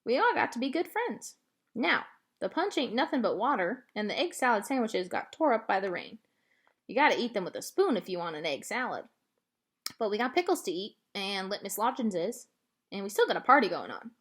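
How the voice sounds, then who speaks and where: A woman's voice: medium pitch, sing-song, fairly quick, a bit bright, husky. A woman voicing a kindly old woman who tells a story in folksy dialect, in a storybook reading. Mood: warm, welcoming.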